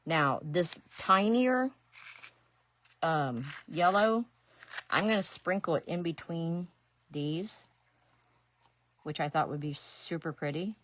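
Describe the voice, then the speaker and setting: A woman's voice: lowish voice, expressive, takes it slowly, pauses often, fairly bright tone, slight background noise. A middle-aged woman from Ohio with an Ohio accent, chatting casually and rambling as she works on a craft during a live stream. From Ohio, USA. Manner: chatty, rambling, self-deprecating asides. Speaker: resin artist and painting teacher.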